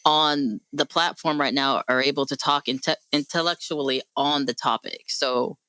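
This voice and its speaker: Bright-voiced woman hosting a discussion. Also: a bass voice, fairly even intonation, normal speed, no room sound, low-quality recording. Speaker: host; mental health professional. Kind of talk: radio panel discussion.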